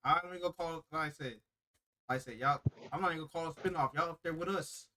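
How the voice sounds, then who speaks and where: A man's voice: fairly high pitch, very fast, sharp tone, slight background noise. A man hosting a wrestling podcast, slangy and hyped, who keeps saying "I ain't gonna lie". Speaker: podcast host. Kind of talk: casual podcast sign-off chat.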